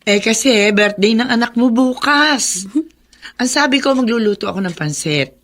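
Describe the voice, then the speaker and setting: A woman's voice: on the high side, animated, normal speed, fairly bright tone. An actress playing a caring, teasing mother in a Filipino radio drama, with a Filipino accent. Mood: warm teasing.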